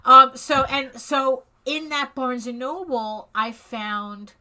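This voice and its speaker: A middle-aged woman from Spain with a bright, crisp tone. She talks casually as an interview guest over a video call, often restarting her sentences and breaking into chuckles. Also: high-pitched. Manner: halting, with frequent restarts and chuckles. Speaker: comic artist guest.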